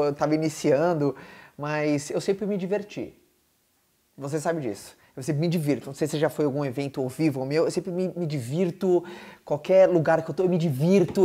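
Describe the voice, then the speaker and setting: A man who hosts a podcast, answering questions in an energetic, emphatic motivational chat with lots of fillers. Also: a little high. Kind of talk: casual motivational talk.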